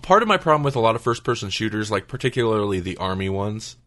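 A man's voice: fairly low pitch, sing-song, fast talker, fairly bright tone. A man who hosts a podcast, chatting casually about video games. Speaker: podcast host.

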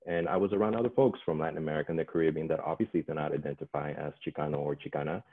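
A man whose first language is Spanish gives a measured, steady personal account on a video call panel. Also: extremely low pitch. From California. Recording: tinny phone-like call audio.

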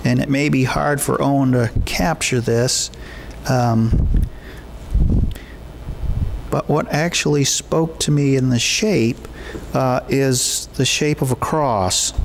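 A middle-aged man speaks as a pastor to his congregation. His delivery is measured and encouraging, informal but prayerful, at a medium pitch. There is some faint noise behind him in the room. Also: fairly even intonation, gravelly, rough recording. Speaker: pastor leading a church service. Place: church sanctuary, at a wooden pulpit.

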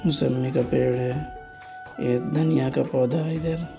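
A man's voice: medium pitch, fairly even intonation, a little slow, vocal fry, over music. A man narrating a casual village vlog, pointing things out as he goes. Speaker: village vlogger. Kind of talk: casual vlog narration, showing around a farm.

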